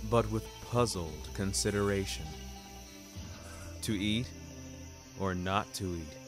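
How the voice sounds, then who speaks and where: A man narrating scripted dramatic fiction in a wry, literary manner. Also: fairly low pitch. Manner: wry, literary, deliberate phrasing. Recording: music bed behind narration.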